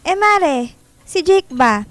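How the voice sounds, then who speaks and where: A woman's voice: very high voice, expressive, takes it slowly, a bit bright, full character voice. A woman playing a curious, gossipy mother chatting with a friend in a radio drama, inquisitive and exclamatory. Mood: curious, amused. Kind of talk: Filipino radio drama acting.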